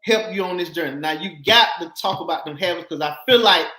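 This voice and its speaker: Excitable host in an echoey room. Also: male voice, sits high, fast. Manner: enthusiastic, repeats words, stumbles and restarts. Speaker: show host and coach. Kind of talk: interviewer, motivational chat.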